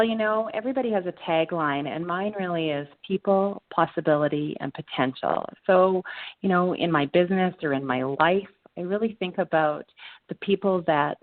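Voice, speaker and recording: A woman gives conversational interview answers in a low voice. She sounds earnest and reflective, measuring out her phrases. The sound is dry and very close, with no room around it. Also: dry recording, narrow, tinny audio. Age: 40s. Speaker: interview guest, entrepreneur.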